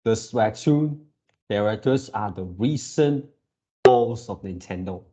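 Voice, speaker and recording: A man in his early twenties talking casually to viewers as a channel host, in a halting way, often repeating and rephrasing himself. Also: lowish voice, expressive, warm tone, slightly rough. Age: early 20s. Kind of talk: casual reaction video talk to viewers.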